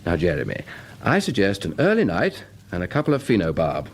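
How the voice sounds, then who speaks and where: Brisk British doctor, animated delivery. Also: male voice, sits low, full character voice. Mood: breezy, reassuring. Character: sensible, brisk English male doctor recounting and reassuring. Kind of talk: radio drama acting and narration.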